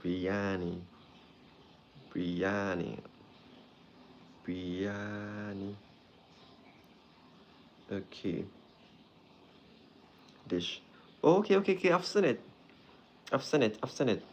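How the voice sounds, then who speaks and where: A man from Ghana, hosting a live stream as a doctor and warmly greeting viewers by name. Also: lowish voice, animated, takes it slowly, pauses often, a little hiss or hum. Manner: friendly, greets viewers by name, repeats phrases.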